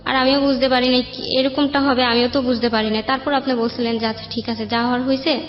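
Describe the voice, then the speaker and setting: A woman's voice: high voice, little pitch movement, fast talker. A young woman with a Bangladeshi accent recounts her life in a colloquial, rambling way as an interview guest. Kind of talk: personal storytelling in an interview. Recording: dull roomy sound, background music.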